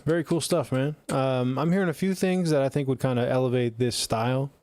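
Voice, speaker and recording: A man's voice: medium pitch, fairly quick, a little mellow. A man hosting a music review livestream in casual, slangy chat with frequent swearing.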